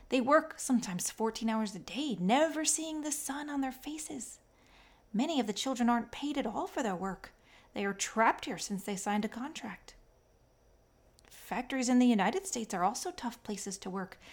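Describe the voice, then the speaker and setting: Woman reading an earnest American machinist. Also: fairly high pitch. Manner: lecturing tour-guide tone.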